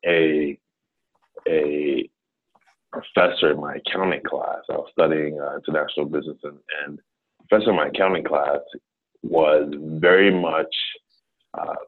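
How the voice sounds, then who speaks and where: A man's voice: a bass voice, fairly even intonation, normal speed, pauses often, breathy edge. A man in his thirties from Ghana, a business consultant talking casually as a guest, rambling with many fillers and restarts. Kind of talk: casual interview talk. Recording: tinny phone-like line.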